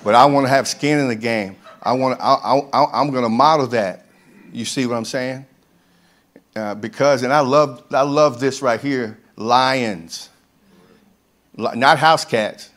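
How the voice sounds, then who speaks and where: Earnest man with halting speech. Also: medium pitch, a bit bright. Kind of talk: speaking to a church group.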